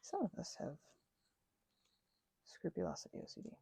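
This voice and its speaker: A woman giving an unscripted ASMR-style craft ramble as host, halting and self-correcting in an earnest way, close to the mic. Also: fairly low pitch, huge pitch swings, vocal fry, warm tone, breathy edge, no echo.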